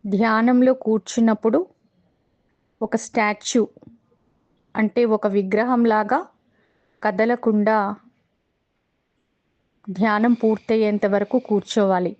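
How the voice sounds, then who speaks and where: A woman with a Telugu accent guiding a meditation in a calm, measured way, pausing between short phrases. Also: a little high, muffled recording. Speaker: meditation guide. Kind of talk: guided meditation instruction.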